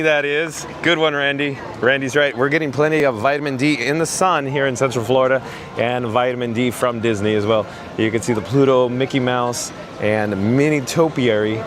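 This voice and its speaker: Hoarse chatty man vlogging outdoors. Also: mid-range voice, background noise. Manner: chatty, reads chat messages aloud. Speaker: live-stream vlogger. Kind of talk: live-stream walking commentary. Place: outdoors at a theme park.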